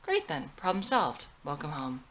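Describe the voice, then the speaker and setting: A dismissive husband , read by a woman in a screenplay drama. The manner is placating and defensive, with lively pitch movement and noise behind it. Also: moderate pace, full character voice. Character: dismissive, placating husband who plays guitar.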